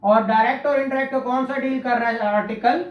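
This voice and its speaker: A man's voice: extremely high pitch, steady tone, fast talker, roomy sound. A man teaching law to a class, quizzing students, repeating key terms and praising answers. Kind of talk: classroom law lecture with questions.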